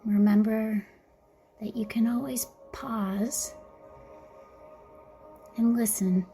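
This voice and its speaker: A woman guiding a mindfulness meditation in a gentle, soothing, softly spoken way, with breathy phrase endings. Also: a little high, fairly even intonation, slow pace, soft background music. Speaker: meditation guide. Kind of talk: guided mindfulness meditation narration.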